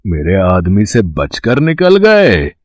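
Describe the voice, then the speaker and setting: A man acts out a gloating male crime boss for a dramatized story. He drawls his threats very slowly and mockingly, with swooping, animated pitch, in a close, dry recording. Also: no room sound, heavily acted. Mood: mocking, menacing. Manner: slow drawling taunts. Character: mocking male gangster villain, gloating and menacing. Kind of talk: dramatized audio story acting.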